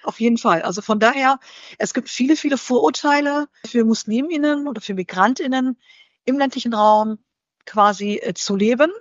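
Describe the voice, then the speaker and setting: A woman answering interview questions thoughtfully, in a measured way that often pauses to search for the right word. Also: fairly high pitch, no echo. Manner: measured, searching for words, frequent fillers. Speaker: interview guest. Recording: slightly dull dry recording.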